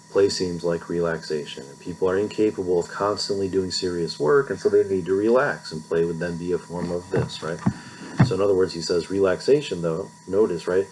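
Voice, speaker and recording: Low-voiced middle-aged man lecturing informally. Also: background noise. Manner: conversational, often checking with "right?". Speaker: philosophy lecturer.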